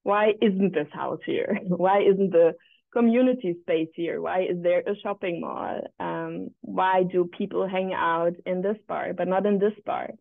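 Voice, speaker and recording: A woman's voice: on the low side, fairly even intonation, a little slow, gravelly, dry recording. Podcast guest: woman, 27, from Berlin, reflective and tentative in casual talk, tinny call audio, no room sound. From Berlin, Germany. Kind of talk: casual interview conversation. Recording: thin, phone-like remote call audio.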